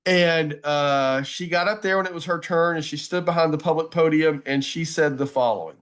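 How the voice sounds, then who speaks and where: Higher-pitched man, emphatic speaker. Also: normal speed, a bit bright. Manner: emphatic, folksy, repeats phrases for emphasis. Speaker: advocacy speaker giving a webinar talk. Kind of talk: motivational presentation to a group.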